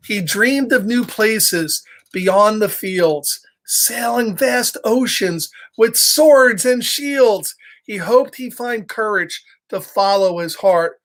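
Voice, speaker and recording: A man's voice: high-pitched, a bit bright. A man hosting children's storytime, chatting playfully and speaking directly to young listeners as he reads. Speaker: children's storytime host and reader. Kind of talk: casual livestream chat and children's story reading.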